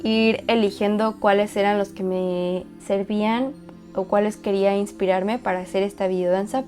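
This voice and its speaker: A woman dancer and choreographer calmly walking through how she built a dance video, speaking in a measured, reflective way with pauses. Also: mid-range voice. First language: Spanish. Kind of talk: explanatory walkthrough.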